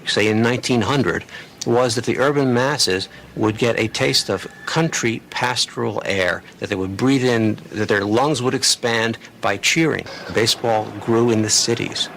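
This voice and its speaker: A man speaking in reflective documentary commentary, measured and rhetorical with deliberate repetition. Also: medium pitch, gravelly, a bit bright, slight background noise, rough recording.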